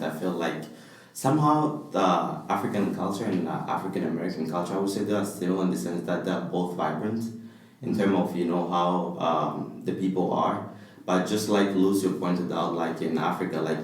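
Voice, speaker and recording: Deep, flat-voiced young Congolese man. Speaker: student guest. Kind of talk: casual discussion. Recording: echoey room, distant mic.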